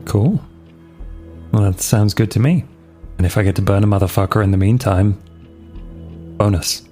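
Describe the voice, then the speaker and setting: A man's voice: fairly low pitch, fairly even intonation, normal speed, warm tone, soft background music, close to an everyday voice. A man playing a cocky but devoted man in a tabletop roleplay, speaking in short, casual, sincere phrases. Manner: clipped, casual.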